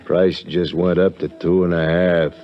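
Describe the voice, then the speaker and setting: A muffled vintage radio recording presents a gruff old-salt sea captain. His voice is very deep and he drawls out his words slowly. He sounds crafty and grasping in his salty sailor talk. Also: flat delivery. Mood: sly bargaining. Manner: slow salty sailor talk, hard bargaining. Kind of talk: 1950s radio drama acting.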